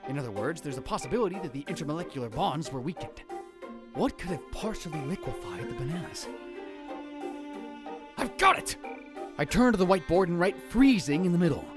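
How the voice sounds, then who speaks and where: A man plays a grandiose young mad scientist from a visual novel, theatrical and dramatic. His pitch swoops widely between musing and exclamation, and music plays behind him. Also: big pitch swings, fairly quick, pauses often. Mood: excited, bossy. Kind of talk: visual novel fan dub, first-person narration and dialogue.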